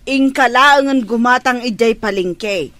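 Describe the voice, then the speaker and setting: An actress in a radio drama playing a persistent fish vendor who talks in a pleading sales manner. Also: female voice, on the high side, measured pace, fairly bright tone, no room sound. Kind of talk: Ilocano radio drama acting.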